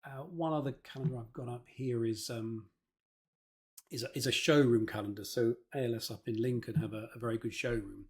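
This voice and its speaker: A man speaks at a middling pitch in an informal instructional style. He sounds like a consultant talking through practical advice. His delivery is hesitant, with words often repeated mid-phrase. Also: fairly even intonation, brisk, darkish tone. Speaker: presenter, marketing consultant.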